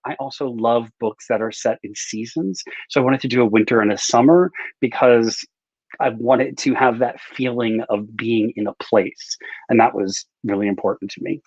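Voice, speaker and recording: A man's voice: medium pitch, a little mellow, no echo. American man, slightly dull video-call sound. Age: middle-aged. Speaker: author being interviewed. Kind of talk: casual conversational interview. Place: book-lined room at home, on a video call.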